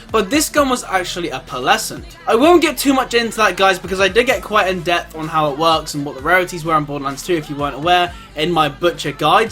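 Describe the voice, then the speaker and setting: A man's voice: sits high, bright, forceful tone. A man giving an informal gameplay review commentary, chatting directly to his viewers. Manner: informal, addresses viewers as guys. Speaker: video game reviewer and host.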